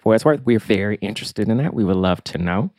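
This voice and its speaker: A man's voice: lowish voice, lively intonation, fast, darkish tone, no room sound. An American man co-hosting a podcast, speaking casually and conversationally into a close microphone. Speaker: podcast co-host.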